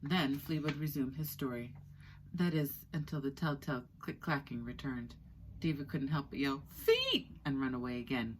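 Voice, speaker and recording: A woman in her thirties reading a children's book aloud expressively, with deliberate storytelling pauses. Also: rumbling low, fairly even intonation, a little hiss or hum, poor audio. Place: small indoor room with skylight.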